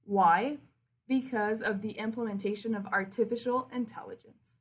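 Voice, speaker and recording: Casting: woman, twenties, French Canadian accent, native French speaker, fairly high voice, friendly presenter addressing an online audience directly. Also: steady tone, normal speed, husky. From Quebec City, Canada. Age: 20s. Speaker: university liaison officer, presenter. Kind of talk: virtual open house presentation.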